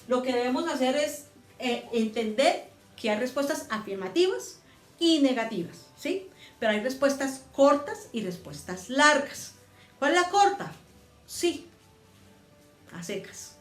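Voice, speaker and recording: Woman teaching grammar, echoey room. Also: on the high side. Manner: patient, repeats and spells out steps. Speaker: English teacher. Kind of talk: grammar lesson explanation.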